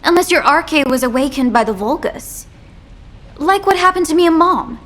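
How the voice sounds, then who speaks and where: Very high young female fighter. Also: expressive, normal speed, a bit bright. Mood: puzzled. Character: young female fighter, curious, in sci-fi game.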